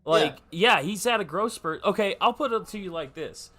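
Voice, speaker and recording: A man's voice: high voice, expressive, normal speed, sharp tone. A man giving casual, opinionated football talk, emphatic and slangy, arguing his point with rhetorical questions. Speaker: sports podcast commentator. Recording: music behind the voice. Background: music.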